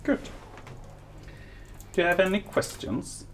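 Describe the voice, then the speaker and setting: A man's voice: high-pitched, lively intonation, fairly bright tone, pitched above the performer's own voice, full character voice. A man playing a haughty Regency noblewoman. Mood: commanding. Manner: imperious, halting, curt. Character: haughty, impatient Regency noblewoman, cold and commanding mother. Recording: faint background noise.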